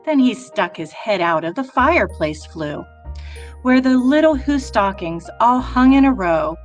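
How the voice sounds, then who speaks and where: A woman's voice: fairly high pitch, lively intonation, unhurried. A woman reading a children's rhyming story aloud. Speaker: library staff member reading aloud. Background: soft music with jingles.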